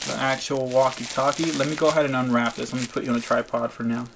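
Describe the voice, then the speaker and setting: A man's voice: medium pitch, little pitch movement, fast talker. A man talking casually to his viewers in an unboxing vlog, explaining things in an informal, plain-spoken way. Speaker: vlogger. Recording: clear background noise, crinkling packaging.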